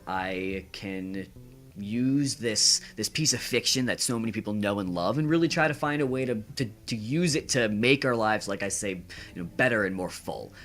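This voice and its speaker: A young man answering casually as a podcast guest, earnest and halting, repeating words. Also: deep voice, a bit bright. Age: young adult.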